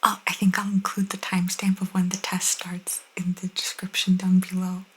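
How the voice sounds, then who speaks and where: A young woman whispering softly and intimately as an ASMR host, reading and chatting casually close to the microphone. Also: fairly low pitch, monotone, normal speed, husky. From Italian cultural background. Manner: soft, intimate, chatty asides.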